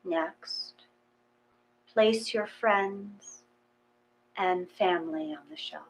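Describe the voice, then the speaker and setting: Slow-paced woman guiding a meditation. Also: medium pitch, rough recording. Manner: calm, measured, with long pauses between short phrases. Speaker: guided meditation reader. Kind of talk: gentle guided meditation, read from a text.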